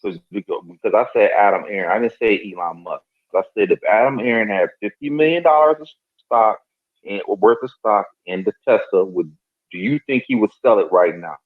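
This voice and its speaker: Man, 40s, lower voice, insistent debater; thin phone-call audio. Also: fairly quick. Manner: insistent, repeats his question. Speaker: call participant. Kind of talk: heated debate on a group call.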